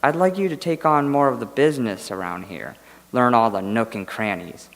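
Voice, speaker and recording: An actor playing a courteous, quietly menacing dentist in a radio drama, speaking in a measured, insinuating way. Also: male voice, mid-range voice, lightly acted. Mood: smooth, sly. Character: smooth, quietly menacing male dentist running a suspect practice. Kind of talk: stage radio drama acting. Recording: echoey hall, live stage performance.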